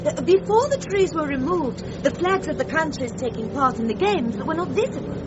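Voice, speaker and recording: A woman's voice: high voice, very animated, normal speed. An actress playing a dutiful official woman guide with a German accent, speaking formally as if reciting an approved line. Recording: muffled band-limited sound with street noise.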